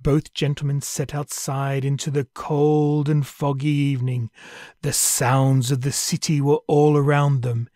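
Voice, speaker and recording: A man narrating a classic ghost story in a measured, storytelling manner. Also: medium pitch, little pitch movement, dark tone, no room sound, studio quality. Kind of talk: scripted dramatic narration.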